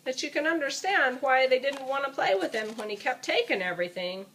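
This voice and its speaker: A woman's voice: sits high, animated, brisk, fairly bright tone, a little hiss or hum, poor audio. A woman reading a children's storybook aloud, with dramatic emphasis and chatty asides addressed to a young listener. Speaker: storybook reader.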